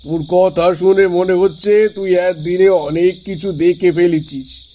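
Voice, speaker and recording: A man's voice: sits high, steady tone, a little slow, warm tone, a little hiss or hum. A man playing a concerned, slightly anxious elder brother figure with a secret, speaking familiarly in a horror audio drama. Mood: concerned, curious.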